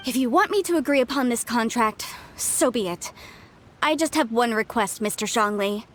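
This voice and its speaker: High, eager young woman archaeologist, game dialogue, light score behind. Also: lively intonation, moderate pace, a bit bright, slight background noise. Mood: earnest. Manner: earnest and easily stirred. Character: earnest young female archaeologist, idealistic, emotional. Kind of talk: video game voice acting. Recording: game score playing faintly.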